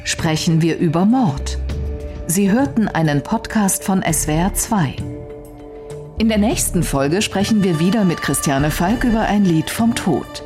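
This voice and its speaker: A woman's voice: on the low side, lively intonation, unhurried. A woman reading a scripted podcast outro as a station announcer. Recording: music under the voice. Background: music.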